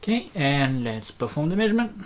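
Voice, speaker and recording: A presenter giving an unscripted technical demonstration, explaining step by step in a hesitant, halting way with short fragments. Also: mid-range voice, sing-song, noisy background. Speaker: presenter demonstrating audio equipment.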